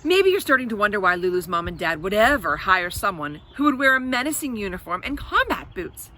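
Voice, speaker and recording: A woman's voice: medium pitch, big pitch swings, brisk, bright, forceful tone, slight background noise. A woman reading a children's storybook aloud as host, expressively, with explanatory asides to her young listeners.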